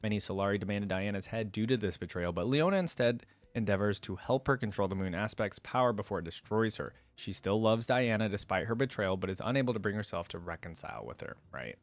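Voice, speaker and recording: A man's voice: lowish voice, expressive, quick pace, hardly any pauses, no room sound. A man hosting a reaction video, chatting informally and enthusiastically as a fan and reading text aloud. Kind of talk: casual reaction commentary mixed with reading wiki text aloud.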